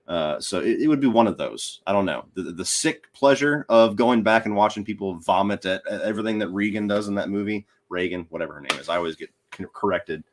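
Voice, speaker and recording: A man's voice: on the low side, a little fast, few pauses. A man speaking as a podcast guest, giving his opinions on films in a casual, conversational way. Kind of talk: casual film discussion.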